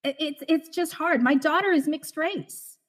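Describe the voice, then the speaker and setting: A middle-aged woman born in the Philippines, a stage actor talking as a guest in an interview, expressive and animated, laughing often. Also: high voice, a little slow. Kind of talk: conversational interview.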